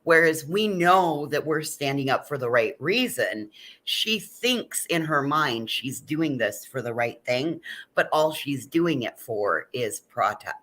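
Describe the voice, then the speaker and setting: A woman's voice: extremely low pitch, animated, takes it slowly, fairly bright tone. A woman talking casually in a panel discussion.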